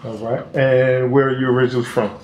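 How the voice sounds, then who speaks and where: A man interviewing an older man for a documentary, asking measured questions politely. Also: medium pitch, little pitch movement, a little mellow, muffled recording, a little hiss or hum. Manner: measured questioning, calls the guest sir. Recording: roomy room sound.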